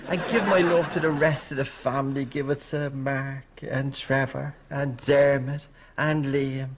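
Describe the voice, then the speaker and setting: A woman's voice: extremely low pitch, steady tone, unhurried. A woman playing a spirit medium who channels a dead, drunken husband in a theatrical sitcom séance. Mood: familiar, wandering. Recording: clearly noisy live studio sound.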